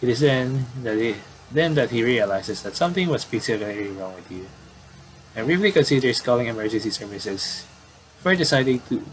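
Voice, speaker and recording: A man doing casual game commentary and reading story text aloud, haltingly and with repeated words. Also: medium pitch, expressive. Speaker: gaming video commentator. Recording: clearly noisy home recording.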